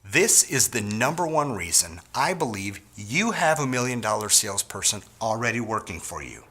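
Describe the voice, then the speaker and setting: A middle-aged man from Wisconsin giving a scripted sales training talk to store owners in an earnest, measured way. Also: on the low side, big pitch swings, pushed and bright, husky.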